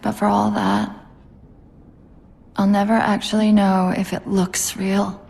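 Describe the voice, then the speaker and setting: Wistful female AI, mid-pitched. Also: level tone, slow, gravelly, darkish tone. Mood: wistful, sad. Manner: precise, reflective. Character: wistful, brainy female artificial intelligence. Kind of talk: dramatic video game cutscene acting. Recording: faint background noise, ambience.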